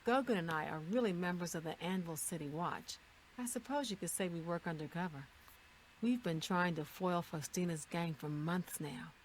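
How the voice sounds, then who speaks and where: A woman's voice: on the low side, moderate pace, a little hiss or hum, lightly acted, poor audio. An actress playing a composed, matter-of-fact female town guard in a fantasy video game. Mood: explaining, matter-of-fact.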